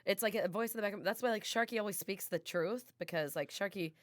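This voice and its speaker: A woman's voice: mid-range voice, brisk, dry recording, studio quality. A young woman co-hosting a casual podcast, rambling playfully with lots of restarts. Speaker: radio show co-host.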